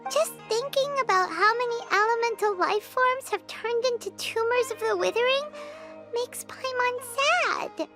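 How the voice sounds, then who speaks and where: A woman voicing a tiny, childlike fairy companion in a video game, with a squeaky voice and a perky manner. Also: measured pace. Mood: sad. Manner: perky, refers to herself in third person. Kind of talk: English video game voice acting. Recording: studio voice under game music.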